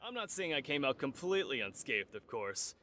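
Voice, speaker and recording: A man's voice: medium pitch, very animated, brisk, bright, forceful tone. A man playing a cocky, self-important brawler who brags, as dialogue in a video game. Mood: bragging. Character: boastful, cocky adult male brawler. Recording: faint music behind the voice.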